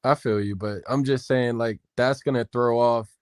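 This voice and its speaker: A man's voice: mid-range voice, steady tone, normal speed, smooth, no echo. A man in casual chat, laid-back, agreeing and egging the others on.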